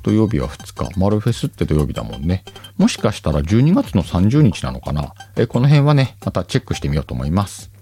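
A deep-voiced man hosting a casual solo show and reading out listener letters. His delivery swings up and down a lot, loose and chatty, with frequent laughs and small slips. Soft music plays faintly behind him. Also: a little fast. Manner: relaxed, chatty, laughs often, stumbles over words.

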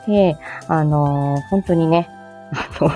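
A woman's voice: sits low, slow, mellow, soft background music. A woman from Japan chatting casually as a livestream host, in a giggly, self-deprecating manner. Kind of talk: casual morning livestream chat.

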